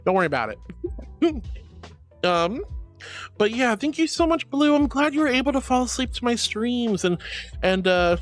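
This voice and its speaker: Animated giggly woman streamer. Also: fairly high pitch, normal speed, pauses often, soft background music. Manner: rambling, giggly, talks straight to chat. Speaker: VTuber streamer. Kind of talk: casual livestream chat.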